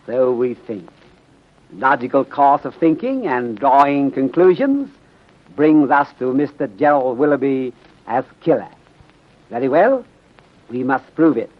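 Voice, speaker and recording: Old muffled radio serial, wise polite chinese detective, middle aged, formal clipped phrasing, drops articles, medium pitch. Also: male voice, very animated. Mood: grave, resolute. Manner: deliberate clipped syntax dropping articles, formal, aphoristic. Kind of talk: 1930s radio drama acting. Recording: dull muffled 1930s radio recording.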